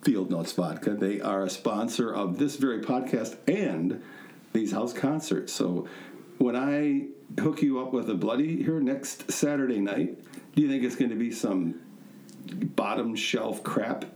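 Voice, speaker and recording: A man's voice: mid-range voice, expressive, unhurried, a little mellow. A man hosting a podcast in a casual, chatty way, with a joking, self-mocking manner. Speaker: podcast host. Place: echoey indoor studio room. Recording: echoey room sound.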